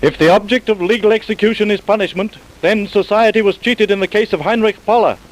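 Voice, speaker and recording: A man's voice: sits high, fairly quick, natural voice, low-quality recording. A man narrating a crime drama as a solemn storyteller, in formal old-time radio style. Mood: solemn, storytelling. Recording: vintage radio broadcast recording.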